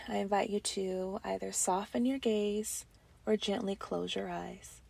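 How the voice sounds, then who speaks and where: Woman, meditation guide, mellow tone, breathy softness, soothing delivery, long pauses, near-whispered phrase endings. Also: mid-range voice, no room sound. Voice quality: soft, breathy, often trailing into near-whisper.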